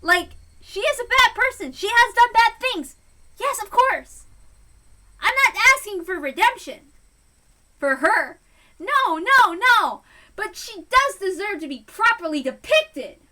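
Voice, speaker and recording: A woman giving casual, impassioned fan commentary in an exasperated, emphatic and halting way. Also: very high voice, sing-song, pushed and bright. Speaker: reader and commentator.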